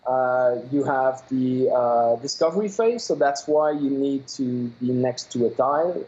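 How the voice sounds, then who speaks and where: French-accented man, halting and hesitant. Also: mid-range voice, darkish tone. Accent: Quebec French. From Quebec, Canada. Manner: halting, searches for English words, many uh fillers. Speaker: game developer guest. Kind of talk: phone-in interview. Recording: dull lo-fi call line.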